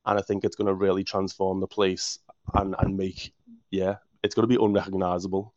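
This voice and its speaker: Enthusiastic Liverpudlian man, flat delivery. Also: low voice, a little fast, no room sound. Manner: enthusiastic, restarts words often. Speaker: council official. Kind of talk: interview answers. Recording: muffled dry audio.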